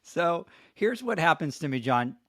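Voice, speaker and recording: Puerto rican guy hosting a podcast, spanish is his first language, lively self-mocking stories, dry close sound. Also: on the high side, unhurried, no echo. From Puerto Rico. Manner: animated, self-mocking storyteller. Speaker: podcast host. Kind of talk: casual podcast banter.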